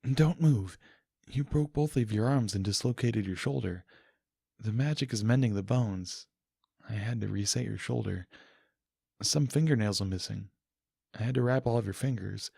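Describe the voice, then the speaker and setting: A man voicing an ancient, regal female pony princess in an audiobook, with formal, measured, gentle and patient speech. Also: on the low side, gravelly, husky, dry recording, clean studio sound. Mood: calm, caring. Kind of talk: dramatic audiobook character voice.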